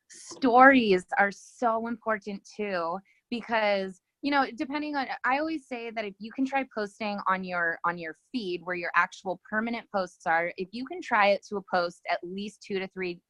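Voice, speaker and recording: A woman's voice: medium pitch, moderate pace, a bit bright, dry recording, low-quality recording. A woman guest giving casual social media advice, rambling with many ums and restarts.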